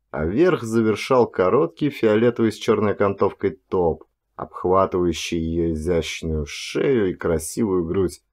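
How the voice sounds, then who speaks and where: A man reading a first-person story as an audiobook narrator, in an expressive, dramatic storytelling manner. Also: on the low side.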